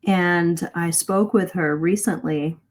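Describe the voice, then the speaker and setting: A woman's voice: lowish voice, steady tone, slow pace. A middle-aged woman teaching a live online English lesson in a conversational, encouraging way, explaining and stressing her key points. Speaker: English communication coach, host. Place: live video stream.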